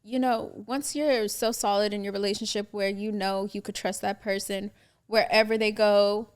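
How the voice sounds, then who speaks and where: A woman guest on a casual podcast with a loud voice, talking frankly and matter-of-factly. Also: medium pitch, steady tone, normal speed, smooth.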